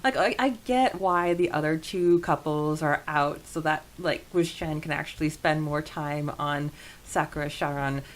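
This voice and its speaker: A woman's voice: deep voice, a little slow. A woman co-hosting a podcast in casual fan discussion, with a gushing, giggly manner. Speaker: podcast co-host.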